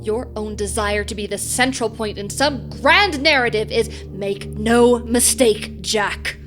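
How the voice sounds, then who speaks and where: An actress in an audio drama playing a proud, exacting supervisor with precise, stiffly formal and sarcastic speech. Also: female voice, a little high, slow pace, over music. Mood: cold, wounded.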